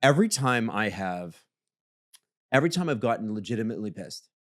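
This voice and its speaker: A man with a booming voice co-hosting a casual podcast, animated and jokey, often jumping high in pitch when he teases. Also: brisk, dry recording, clean studio sound. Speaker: podcast co-host. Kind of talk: casual chat.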